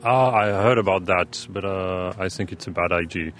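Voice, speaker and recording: A man's voice: sits low, normal speed, a bit bright, a little hiss or hum. A man from Switzerland answering questions in a street interview outdoors, easygoing and laughing often. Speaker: member of the public. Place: outdoors at a gathering.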